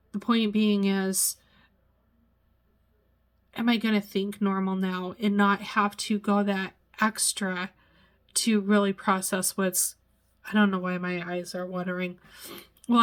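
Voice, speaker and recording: Slow, halting woman in her sixties. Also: medium pitch. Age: 60s. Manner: halting, searches for words, many pauses and fillers. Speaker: vlogger. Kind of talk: personal reflective vlog.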